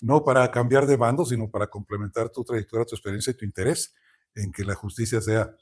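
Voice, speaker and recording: A man from Veracruz, Mexico, with a Mexican Spanish accent, hosting an interview in a relaxed, conversational way with hesitant restarts. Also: medium pitch, a little fast, breathy edge. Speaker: interviewer host. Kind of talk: casual interview.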